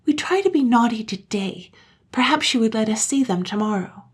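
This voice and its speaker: A woman's voice: on the high side, expressive, moderate pace, husky, pitched above the performer's own voice. A woman voicing a small, stubborn, yearning girl who sobs childishly in a children's storybook. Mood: scheming. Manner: childlike, sobbing, insistent.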